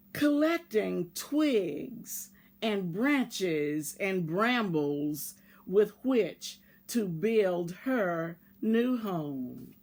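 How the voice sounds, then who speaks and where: A woman reading a picture book aloud for children in a measured way, pausing to address young listeners. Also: medium pitch. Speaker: volunteer children's story reader.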